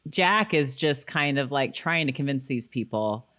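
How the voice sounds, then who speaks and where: Low-pitched woman, casual podcast co-host. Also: expressive, measured pace, a bit bright. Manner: jokey, acts out quoted lines. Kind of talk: casual TV recap chat.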